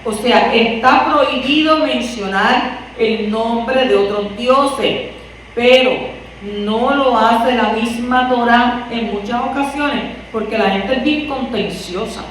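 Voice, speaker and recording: Puerto rican lady preaching, kinda high voice, chatty w rhetorical questions, mic far off, faint hiss. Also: slow pace, fairly bright tone. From Puerto Rico. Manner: conversational, asks rhetorical questions. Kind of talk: sermon. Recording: echoey room from far off, faint noise.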